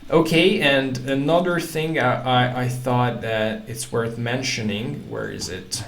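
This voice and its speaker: A man hosting a language-learning channel, talking casually to camera in halting English with many restarts. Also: medium pitch, fairly even intonation, creaky. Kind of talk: casual talk to camera, reading quotes aloud. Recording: echoey room with faint ambient noise.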